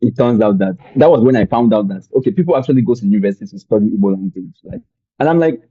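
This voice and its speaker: A young man from Nigeria with a Nigerian accent, telling his story as a podcast guest in a relaxed, rambling way with many fillers. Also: mid-range voice, fast, slightly rough, no room sound, phone-quality sound. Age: young adult. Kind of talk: conversational storytelling.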